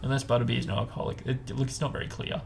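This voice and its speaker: A man's voice: medium pitch, fairly even intonation, racing, vocal fry, a little hiss or hum. A man chatting casually as host of a book discussion, talking in an informal, meandering way as he explains and speculates.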